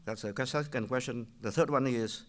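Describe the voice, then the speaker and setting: Older man with a Vietnamese accent. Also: medium pitch. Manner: halting, many restarts and fillers. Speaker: public commenter. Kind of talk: public meeting questions.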